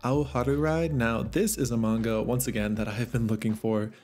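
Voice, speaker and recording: A man's voice: mid-range voice, fairly even intonation, fairly quick, faint music behind. A man narrating a casual vlog about the books he picked up, chatty and enthusiastic, with a voice that is a little worn. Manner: chatty, enthusiastic, laughs softly. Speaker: manga vlogger.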